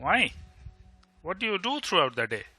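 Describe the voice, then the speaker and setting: A man's voice: high-pitched, sing-song, brisk, sharp tone, soft background music, heavily acted. A man with an Indian Bengali accent playing a blunt, sardonic but loyal bachelor friend in an audio drama, with emphatic delivery. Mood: incredulous, sarcastic. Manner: sarcastic, emphatic, switches between English and Bengali. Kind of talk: amateur audio drama acting.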